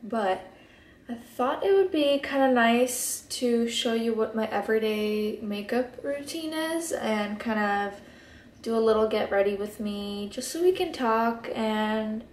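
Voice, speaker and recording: A woman's voice: a little high, a little slow, slight background noise. A sleepy young woman in her twenties whose first language is Hebrew, chatting in a laid-back way in her bedroom while doing her makeup. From Israel. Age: 20s. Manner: laid-back and chatty, many ums and likes. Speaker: beauty vlogger. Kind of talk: casual get-ready-with-me chat. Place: bedroom, indoors. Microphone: camera at close desk distance. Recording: echoey bedroom recording.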